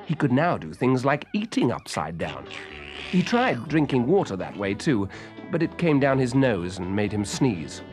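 A British man narrating a children's story in an expressive, scripted storytelling manner. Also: medium pitch, normal speed, music in the background. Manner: expressive, clearly paced storytelling.

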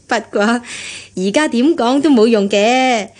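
An actress playing a kindly, chatty, meddling senior housekeeper with a coaxing manner in a radio drama. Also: female voice, a little high, takes it slowly. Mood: teasing, coaxing. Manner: coaxing, cajoling. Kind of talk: Cantonese radio drama acting.

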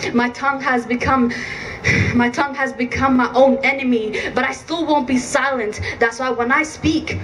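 A woman's voice: sits high, a bit bright. Young woman poet at an outdoor rally. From Iraq. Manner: intense, rhythmic, emphatic delivery. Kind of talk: spoken word poetry at a rally. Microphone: handheld mic through PA, filmed from a distance. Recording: distant outdoor PA with faint noise.

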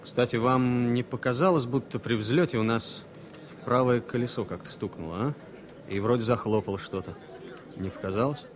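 An actor playing an anxious, know-it-all former military pilot, an insistent grumbler, in a radio play. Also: male voice, mid-range voice, normal speed, a crowd in the background, lightly acted. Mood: worried, insistent. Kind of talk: 1970s Soviet radio play acting. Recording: slightly noisy vintage radio-play recording.

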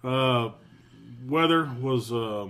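A man co-hosting a casual podcast who recaps the week's games haltingly, with dry teasing. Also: medium pitch, fairly bright tone. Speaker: podcast co-host.

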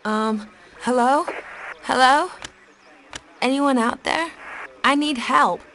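High-pitched anxious stranded woman. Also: fairly bright tone, a little hiss or hum, heavily acted, low-quality recording. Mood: anxious, calling out. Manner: urgent, halting. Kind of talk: dramatic game voice acting.

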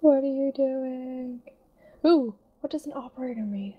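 A woman with a naturally hoarse voice chatting casually on voice chat, relaxed and swearing now and then. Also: high voice, measured pace, lo-fi sound. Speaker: voice chat participant.

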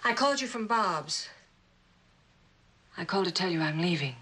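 An actress playing an unhappy, torn wife in a mid-century suspense drama, with a husky voice. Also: female voice, on the low side, lively intonation, normal speed, pushed and bright, exaggerated voice. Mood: urgent.

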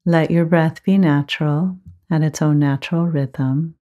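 A woman teaching guided meditation, giving gentle, measured instructions with frequent pauses. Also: low voice, fairly even intonation, phone-quality sound, faint music behind.

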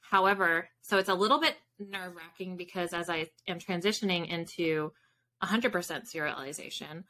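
A woman's voice: on the low side, bright, forceful tone, a little hiss or hum. A woman in her thirties speaking informally as an interview guest, thinking aloud as she answers on a video call. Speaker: guest, fiction author. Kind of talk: casual interview chat. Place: home room with bookshelves, on a video call.

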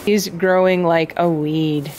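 A woman's voice: on the low side, slow, a little hiss or hum. Chatty young woman in a goat barn. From Canada. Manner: relaxed, chatty explaining with soft fillers. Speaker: farm vlogger. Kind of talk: casual vlog talk to camera. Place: indoors in a large goat barn with straw pens. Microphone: handheld camera at arm's length.

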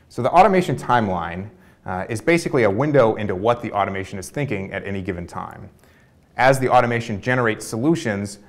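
A young man giving a fluent, orderly walkthrough of a prototype. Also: fairly low pitch. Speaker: researcher demonstrating a prototype. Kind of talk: product demo narration. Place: dark studio with display screens.

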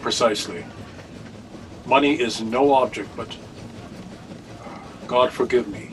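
An older man acting in a radio drama as an anxious, grave reverend with a deliberate, formal way of talking. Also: mid-range voice, close to an everyday voice. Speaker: radio play actor. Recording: distant, noisy video call audio.

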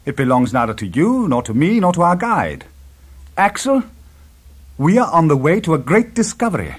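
An older professor on an expedition, acted by a male narrator, declaims with excitement and command. His pitch is raised and leaps about, and the voice has a husky grain. Every phrase lands as an emphatic storybook exclamation. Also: normal speed, heavily acted. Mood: thrilled, proclaiming.

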